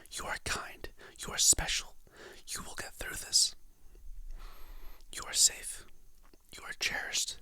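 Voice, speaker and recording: A content creator whispers motivational quotes and gentle affirmations in a slow ASMR style. The voice is almost all breath, airy and bright, and it rises and falls a great deal. Each quote ends with the name of its author. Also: extremely high pitch. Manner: soft whisper, slow and soothing, reads quotes then names the author.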